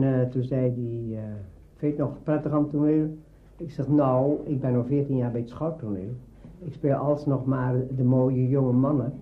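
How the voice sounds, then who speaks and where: A man's voice: mid-range voice, phone-quality sound, slight background noise. A man in his eighties with a Netherlands Dutch accent, a veteran radio actor telling juicy, theatrical anecdotes in conversation. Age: 80s. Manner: juicy, theatrical storytelling with acted-out dialogue. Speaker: veteran radio drama actor being interviewed.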